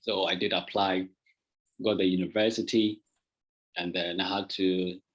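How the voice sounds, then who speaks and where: A man from Ghana speaks in a fairly low voice, talking through his story in an easy, conversational way with plenty of fillers. His voice comes over a video call with dull, lo-fi sound. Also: measured pace. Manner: relaxed, conversational, many fillers. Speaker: guest artist presenter. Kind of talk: casual presentation on a video call.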